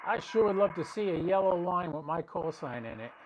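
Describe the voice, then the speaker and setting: A man's voice: high-pitched, expressive, fairly quick, background noise. A man giving casual live commentary as a radio stream host, thinking aloud and explaining as he goes, often restarting his sentences. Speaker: ham radio livestream host.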